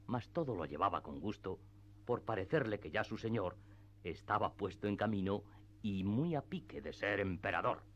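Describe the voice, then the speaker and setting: A man's voice: low-pitched, a bit bright, lo-fi sound. A man narrating a classic literary tale as the scripted narrator of a radio theatre piece.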